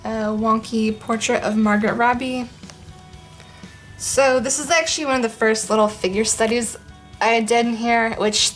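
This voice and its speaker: A young woman chatting casually in her living room as a vlog host, rambling with lots of ums and a voice that is going hoarse. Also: a little high, fairly even intonation, moderate pace, soft background music. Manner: rambling, many ums, laughs often. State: losing her voice. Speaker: art vlogger. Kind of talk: casual chat.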